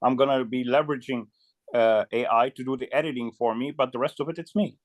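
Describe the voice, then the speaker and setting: Halting man in a dry-sounding chat. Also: medium pitch, steady tone, dry recording. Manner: halting, often repeating words. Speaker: video creator and guest in a community video chat. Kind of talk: casual chat. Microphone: close microphone on a video call.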